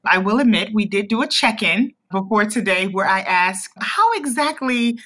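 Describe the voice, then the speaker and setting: Female director, interview guest, middle pitch, conversational style. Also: lively intonation, normal speed, fairly bright tone. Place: remote recording.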